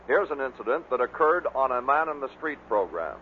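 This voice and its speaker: A man's voice: mid-range voice, very animated, fairly quick. A man delivering scripted narration as the narrator of a bloopers record. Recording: noisy, tinny vintage radio recording.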